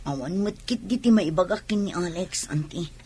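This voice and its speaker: A woman with an Ilocano Filipino accent playing a fretful, irritable young pregnant wife in a radio drama, in a husky voice. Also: on the low side, lively intonation, moderate pace, dark tone. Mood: guarded.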